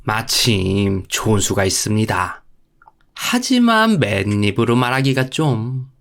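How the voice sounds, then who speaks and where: A man's voice: on the low side, very animated, takes it slowly, vocal fry, fairly bright tone, heavily acted. A man voicing a sly, money-minded Buddhist monk in a folktale, speaking in a knowing, insinuating way. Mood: sly advising.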